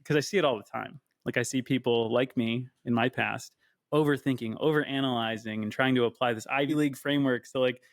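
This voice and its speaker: Gravelly-voiced man telling a story. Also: medium pitch, fairly quick, no echo. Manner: animated, candid, swears freely. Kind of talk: casual storytelling.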